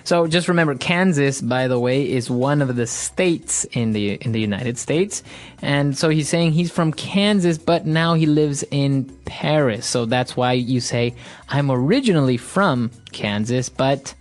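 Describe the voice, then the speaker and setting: A man's voice: mid-range voice, expressive, measured pace. Ecuadorian man co-hosting a language lesson. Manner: agreeable, explanatory, frequent "that's right". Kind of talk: casual teaching chat.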